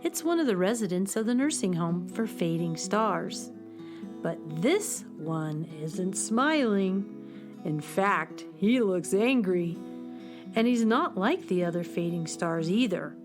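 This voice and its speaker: A woman giving gentle, scripted storybook narration for a children's radio play. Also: mid-range voice, expressive. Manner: slow, gentle, descriptive. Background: guitar music.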